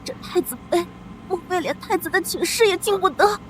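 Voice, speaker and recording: Bitter jealous maid, high voice. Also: female voice, fairly quick, a little hiss or hum, rough recording. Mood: resentful. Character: jealous young palace maid turned consort.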